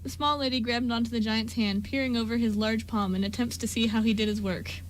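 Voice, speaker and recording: A woman's voice: fairly high pitch, fairly even intonation, a little fast, slight background noise. A woman reading scripted story narration for an amateur radio drama.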